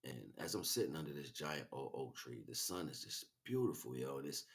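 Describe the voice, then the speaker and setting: A man's voice: a bass voice, animated, fairly quick. A man livestreaming a casual, rambling spiritual monologue in street slang, often checking in with rhetorical questions. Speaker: livestream host.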